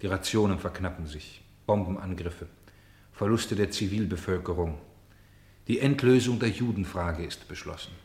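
A solemn male narrator in a German radio drama. His voice sits fairly low and carries through a very roomy space, with measured, declaimed phrasing. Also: darkish tone. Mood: grave. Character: narrator turned player, voicing cities, streets and houses. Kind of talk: German radio play acting.

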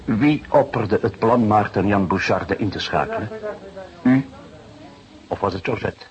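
An actor playing a shrewd, unruffled police inspector who questions in a measured, drily insistent way in a detective radio drama. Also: male voice, fairly low pitch, animated, a little mellow, lo-fi sound. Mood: pressing, accusing.

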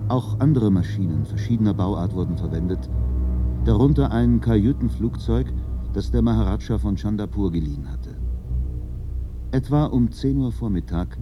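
An actor playing the male narrator of an adventure radio play, reading in a measured, precise, factual way. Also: sits low, lightly acted. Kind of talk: scripted radio drama narration.

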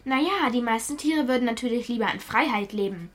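Earnest, high-voiced young girl. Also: lively intonation, a little fast, faint music behind. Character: earnest young girl, daughter who loves animals. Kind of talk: acted audio drama for children.

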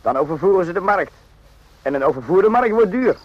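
An actor playing a naive, randy young soldier in a radio drama, rambling and jokey in an eager, confiding way. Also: male voice, high-pitched, animated, a little fast, no echo. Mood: eager, joking. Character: chatty, naive, randy young army soldier, former circus hand. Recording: muffled lo-fi old radio recording.

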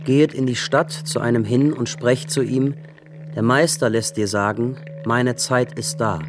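An actor playing a solemn, prophetic teacher in a German radio drama, with grave, measured declamation. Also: male voice, medium pitch, fairly even intonation, noisy background, lightly acted. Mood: grave, foreboding.

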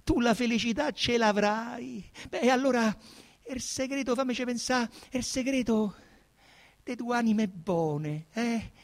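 A man's voice: very high voice, unhurried, vocal fry, voice pushed up, heavily acted. A male actor voicing an affectionate, insistent Roman woman coaxing her younger cousin, with a Roman accent, in a theatrical radio drama. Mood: wistful, tender. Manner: coaxing, emphatic.

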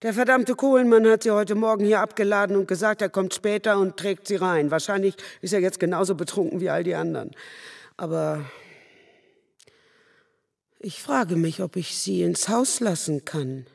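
An actress playing an older, suspicious and bossy housewife in a radio drama, grumbling and brusque. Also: female voice, mid-range voice, normal speed, vocal fry, a little mellow. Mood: grumbling wariness. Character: gruff, suspicious grey-haired city housewife, stingy and bossy.

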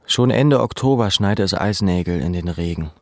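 A man reading scripted literary prose as a narrator, in a measured, deliberate, sombre manner. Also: low-pitched, lively intonation, no room sound, clean studio sound.

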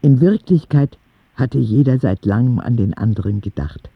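A man's voice: mid-range voice, normal speed, gravelly, husky, no echo, muffled recording. A man playing a candid, eager young boy who narrates his story confidingly in a radio drama. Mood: candid, excited.

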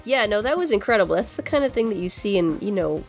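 A woman's voice: medium pitch, lively intonation, fast. A woman with a congested voice chatting casually as a podcast co-host. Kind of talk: casual chat. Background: music.

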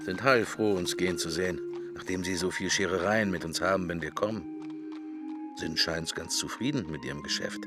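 An actor plays a pensive middle-aged man in a radio drama, thinking to himself in short, wry fragments. His voice sits low, with music behind it. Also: moderate pace, close to an everyday voice. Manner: clipped, musing fragments. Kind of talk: radio drama interior monologue.

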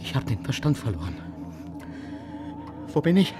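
A man playing a guilt-tormented villainous nobleman losing his mind in a German radio drama, feverish and erratic, moving between hushed trembling and frantic cries. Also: mid-range voice, sing-song, normal speed, music in the background, natural voice. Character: guilt-tormented nobleman villain, terrified, raving, descending into madness. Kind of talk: German radio drama acting, theatrical classical monologue.

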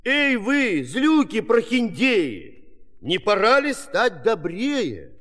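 A man's voice: high voice, wildly swooping pitch, slow, a bit bright, slight background noise, exaggerated voice. A man playing a commanding, kindly magician in a musical fairy-tale audio play, declaiming in theatrical rhyme. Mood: challenging. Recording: long hall reverb.

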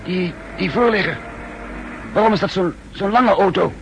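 A man's voice: high-pitched, moderate pace. A man playing a frightened, blustering captive who stammers out protests in a 1960s radio drama. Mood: nervous, puzzled. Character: threatened male captive, panicky, blustering, indignant. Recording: faintly noisy 1960s radio recording.

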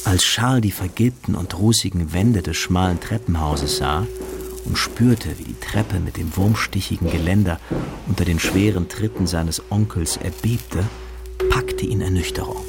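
A man acting as literary narrator of a radio drama, reading scripted prose in a measured, gravelly voice. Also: low voice, over music. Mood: composed.

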